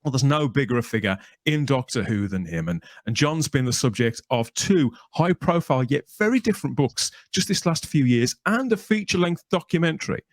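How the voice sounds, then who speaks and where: A man's voice: medium pitch, expressive, fairly quick, hardly any pauses, no echo. A man hosting a podcast, introducing and interviewing in a chatty, wordy manner with theatrical presenter phrasing. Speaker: podcast host.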